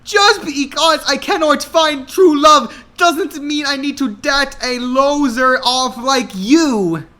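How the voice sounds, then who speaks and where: A man's voice: very high-pitched, slow, few pauses, pushed and bright, voice pushed up, full character voice. A man voicing a whiny, melodramatic young female pop star in a dramatic story reading. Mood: indignant screaming. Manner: melodramatic, screaming.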